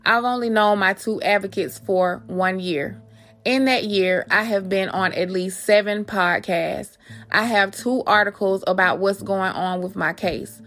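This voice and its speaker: A woman's voice: medium pitch, unhurried, fairly bright tone, soft background music. A woman hosting a podcast, talking personally and familiarly to her listeners and reading aloud. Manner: addresses listeners familiarly as guys. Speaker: podcast host.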